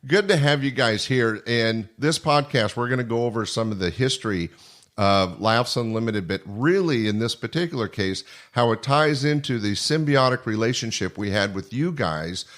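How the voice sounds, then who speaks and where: A man's voice: mid-range voice, normal speed, a bit bright. An older man hosting a podcast, chatting casually as he interviews guests in a small studio.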